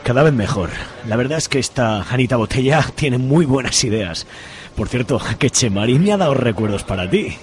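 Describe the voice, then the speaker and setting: A man's voice: medium pitch, animated, moderate pace, faint music behind, close to an everyday voice. A man playing a smug, ambitious young conservative in a comic radio soap.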